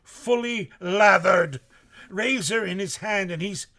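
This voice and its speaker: An honest, rough sailor in a radio play. His voice sits a little high and he speaks slowly, halting and stammering with nervous deference. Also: sharp tone, full character voice. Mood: uneasy storytelling. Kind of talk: live radio drama acting.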